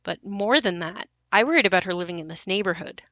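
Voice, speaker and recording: Bright-voiced actress as confiding daughter narrator. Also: female voice, on the low side, expressive, fast talker, no room sound, highs cut off. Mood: worried, confiding. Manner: confiding, conversational, matter-of-fact. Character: worried adult daughter narrating memories of her mother. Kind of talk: audio play monologue, conversational.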